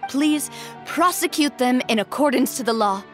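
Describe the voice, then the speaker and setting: A young female leader with a high, poised voice. She sounds confident and earnest, a resolute boss in a video game, with faint music behind her. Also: moderate pace, fairly bright tone. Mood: firm, resolute.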